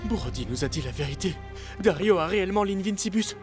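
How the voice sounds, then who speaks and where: A woman's voice: lowish voice, animated, brisk, close to an everyday voice. A woman voicing a self-assured, stubborn young hero in a children's cartoon, with an insistent, smug manner. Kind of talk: French animated children's series dub. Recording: music behind.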